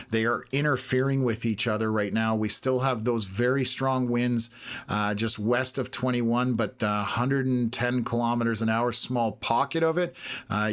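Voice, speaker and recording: A hoarse Canadian man hosting a live weather stream, talking through radar scans in a steady, measured way with lots of filler "uh"s. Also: mid-range voice. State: hoarse, losing his voice. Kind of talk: live storm-tracking commentary.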